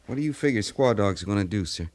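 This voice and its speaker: An actor playing a dutiful cavalry sergeant, clipped and deferential, in a 1950s radio western. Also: male voice, lowish voice, expressive, fast, a little mellow, close to an everyday voice. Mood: watchful. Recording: noisy, distant old radio recording.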